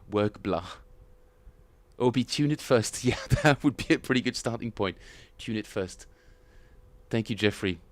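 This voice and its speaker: A man with a French accent, chatting casually and self-mockingly with viewers as he streams his bass practice, often chuckling. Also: slow, long pauses, dry recording. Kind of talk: casual livestream chat.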